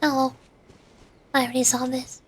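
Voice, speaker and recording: Female VTuber, notably high pitch, relaxed game-stream chat. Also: normal speed.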